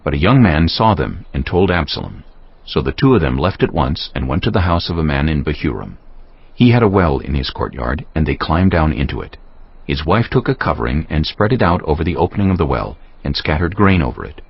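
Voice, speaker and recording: A man narrating scripture as a dramatic audio production, in a solemn, deliberate storytelling manner. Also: very deep voice, very animated, husky, no echo, muffled recording. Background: music.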